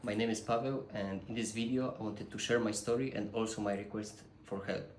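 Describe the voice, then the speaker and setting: A young man with a Polish accent makes an earnest, halting appeal, stumbling through restarts and fillers. His voice sits fairly low. It rings in an echoey room over a faint hiss. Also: fairly even intonation. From Poland. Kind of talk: personal appeal to camera.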